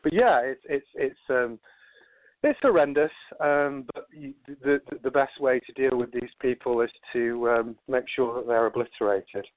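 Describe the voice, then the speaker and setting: A man's voice: mid-range voice, normal speed, no echo. A man with a Manchester accent, a guest who rambles and repeats words as he talks. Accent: Manchester English. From England. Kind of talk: casual phone interview. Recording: dry tinny phone line.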